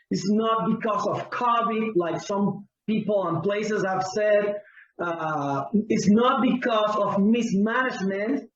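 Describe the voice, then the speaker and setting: Cuban guy, spanish is his first language, high voice, slow careful english, far from the mic in an echoey room, dull call audio. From Cuba. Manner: earnest, careful, searching for words. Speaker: pastor and guest. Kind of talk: interview answer.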